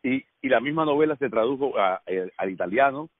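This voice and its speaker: A middle-aged man with a Cuban accent, an actor telling stories in a casual radio chat, lively and laughing often. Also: mid-range voice, brisk. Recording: dry phone-line sound.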